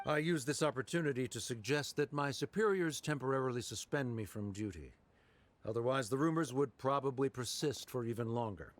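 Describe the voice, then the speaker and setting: An actor playing a reserved middle-aged male scholar and father in a video game, speaking in a formal, measured way. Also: medium pitch, dry recording, lightly acted.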